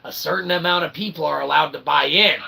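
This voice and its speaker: Guy on a stream with a higher pitched, really tense bright voice, going on long wordy philosophy rants, bit of noise behind him. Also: quick pace, gravelly, husky. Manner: verbose, convoluted pseudo-academic phrasing with folksy asides. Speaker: independent channel streamer. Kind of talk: rambling philosophical monologue on a stream.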